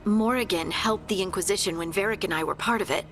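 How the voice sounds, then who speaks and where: An actress playing an earnest scout companion in a video game, plain-spoken and matter-of-fact. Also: female voice, medium pitch, fast talker, a bit bright, natural voice.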